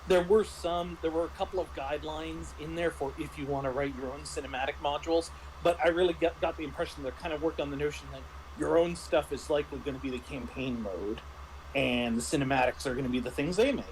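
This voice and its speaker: Higher-voiced man explaining over noise. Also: fast talker, poor audio. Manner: explanatory, restarts and rephrases in mid-thought. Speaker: game master. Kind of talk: casual review discussion.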